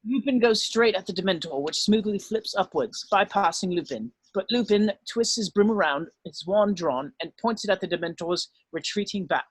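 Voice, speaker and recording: A man's voice: sits high, expressive, moderate pace, few pauses, breathy edge, no room sound, poor audio. A man narrating scripted stage directions at an amateur drama table read.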